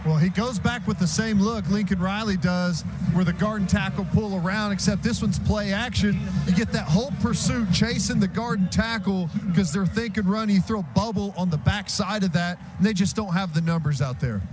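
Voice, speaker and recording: A man's voice: high-pitched, moderate pace, few pauses, heavy background noise. A man giving conversational color analysis on a radio football broadcast, explaining how plays work. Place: stadium radio booth. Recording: radio broadcast over loud stadium sound.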